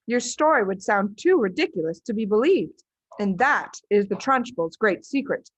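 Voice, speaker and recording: A woman's voice: medium pitch, expressive, normal speed, husky, no echo, lo-fi sound. A woman reading a storybook, voicing a clever, matter-of-fact little schoolgirl who explains things firmly and knowingly. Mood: sure, knowing.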